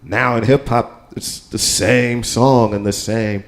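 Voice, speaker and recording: A man's voice: mid-range voice, fairly even intonation, slow. An American man with a casual, rambling manner, talking on a live panel and often checking in with the listener. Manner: rambling, keeps saying "you know what I'm saying". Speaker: panel guest, percussionist. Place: live radio studio with audience.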